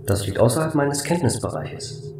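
Guy doing a calm factual space station computer, formal and flat, sci-fi radio play, slight noise. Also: medium pitch, moderate pace, vocal fry, roomy sound, far from the mic. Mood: neutral. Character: calm, matter-of-fact station AI computer named Keep. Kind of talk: amateur sci-fi audio drama acting.

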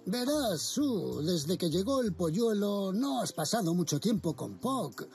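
An older man voicing a kindly, patient grandfather zebra in a children's cartoon dub, gentle and reassuring. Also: high-pitched, big pitch swings, unhurried, creaky, soft background music, heavily acted.

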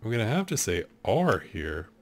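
A man in his thirties with a deep voice, casually commenting while he plays a game show video game at his desk at home. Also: very animated, normal speed. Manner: laid-back, joking, thinking aloud. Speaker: streamer.

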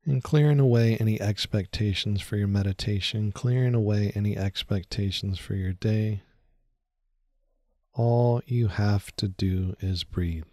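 Man, lowish voice, guided meditation, soothing, unhurried, short phrases, long pauses, dry close recording. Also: warm tone, dry recording.

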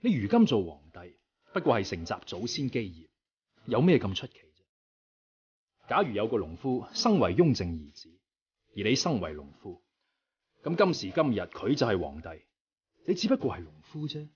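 A man's voice: on the low side, big pitch swings, moderate pace, pauses often, darkish tone, lo-fi sound. A man acting a young, righteous martial rebel leader in a Cantonese radio drama, earnest and persuasive, with formal declamatory speech. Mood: persuasive, stirring.

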